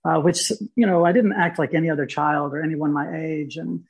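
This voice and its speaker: A man from Mississippi, a guest artist talking thoughtfully in an interview, pausing and restarting as he searches for words. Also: a little high, steady tone, gravelly, husky. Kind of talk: reflective interview talk.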